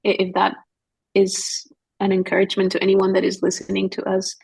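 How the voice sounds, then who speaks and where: Husky, gravelly-voiced woman telling stories. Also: medium pitch, completely flat delivery, a little fast, darkish tone, poor audio. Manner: earnest, storytelling, quotes others in dialogue. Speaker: guest missionary. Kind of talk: podcast conversation.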